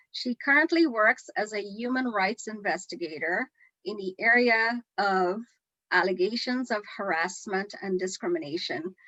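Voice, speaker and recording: Bright-voiced woman hosting a livestream. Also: mid-range voice, no room sound, lo-fi sound. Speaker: host. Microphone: video call.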